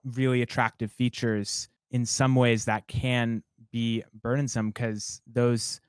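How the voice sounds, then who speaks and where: A young man, a songwriter guest, talking in a relaxed and reflective way, rambling with many fillers. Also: medium pitch, slow, clear, resonant tone, dry recording, clean studio sound. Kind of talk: casual interview conversation.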